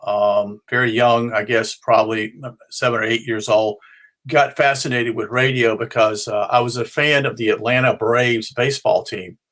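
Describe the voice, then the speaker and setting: A middle-aged or older man from North Carolina with an American accent, giving an informal, unscripted explanation as a hobbyist host in a halting, rambling way, often correcting himself. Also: mid-range voice, fairly even intonation, a bit bright. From North Carolina, United States. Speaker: ham and shortwave radio hobbyist presenting his show.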